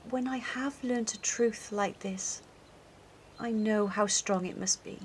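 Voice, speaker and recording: A young actress playing a young woman gently releasing her former fiancé with measured, sorrowful words. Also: mid-range voice, slight background noise, close to an everyday voice. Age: young adult.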